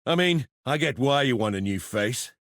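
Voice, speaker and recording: An actor playing a gruff, sardonic fighter in a video game, speaking in blunt, colloquial barks. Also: male voice, medium pitch, lively intonation, normal speed, fairly bright tone, no echo, studio quality.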